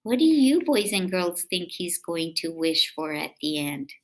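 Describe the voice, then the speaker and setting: A woman doing an expressive picture-book read-aloud for children, drawing out words and adding chatty questions to her young listeners. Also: on the low side, measured pace, slight background noise.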